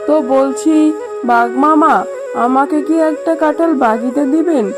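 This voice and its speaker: Woman as a high-voiced weary fox mother. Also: normal speed, lightly acted. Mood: pleading, hurt. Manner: plaintive, motherly. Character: poor fox mother, weary but loving. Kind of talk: children's cartoon voice acting. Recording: music playing behind the voice.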